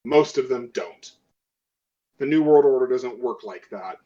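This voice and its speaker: A man's voice: medium pitch, animated, unhurried, dark tone, close to an everyday voice. A man playing a skeptical, self-interested crew member in a tabletop role-playing game, arguing emphatically on a dull microphone.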